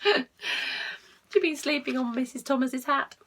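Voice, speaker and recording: A woman reading a picture book aloud to children, expressive, with asides to the listeners and hushed tones for effect. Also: high voice, slow pace. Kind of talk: animated read-aloud with asides to young listeners.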